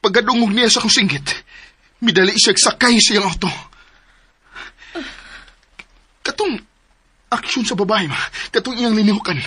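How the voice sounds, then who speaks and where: A man with a Cebuano accent voicing an emphatic young man in a radio drama, lovesick and possessive, with a husky voice. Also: sits high, brisk, sharp tone, heavily acted. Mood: intense. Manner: emphatic, swings between pleading and bluster.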